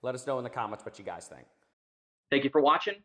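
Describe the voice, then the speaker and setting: Hoarse, raspy man with tunnel-like echo. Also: medium pitch, expressive, fast talker, a bit bright. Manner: rambling, laid-back, says dude and man. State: hoarse after illness. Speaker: channel host. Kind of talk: casual commentary on clips.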